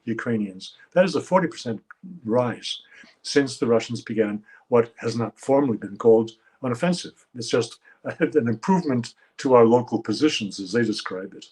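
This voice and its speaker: A man in his seventies, a guest analyst giving measured expert commentary, often pausing with "uh" as he searches for words. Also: medium pitch, animated, darkish tone, slightly rough. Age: 70s. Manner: measured, halting, with frequent uh fillers.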